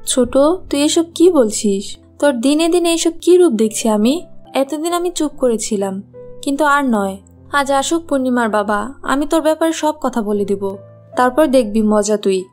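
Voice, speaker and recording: A woman voicing a gentle, ailing wife and protective mother in a fairy-tale cartoon, speaking earnestly. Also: high-pitched, lively intonation, normal speed, soft background music. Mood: upset.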